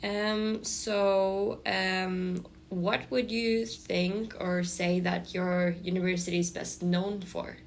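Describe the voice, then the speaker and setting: A young woman with a fairly low voice and noticeable vocal fry hosts a casual interview. She puts questions in a conversational way, with faint noise behind her. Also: level tone, slow pace, few pauses. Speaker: podcast host. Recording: faint background noise, room ambience.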